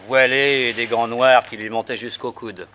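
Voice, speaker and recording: An actor playing a wary, superstitious freight station chief in a French radio drama, talking gruffly and uneasily. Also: male voice, medium pitch, flat delivery, normal speed, bright, forceful tone, a little hiss or hum, close to an everyday voice.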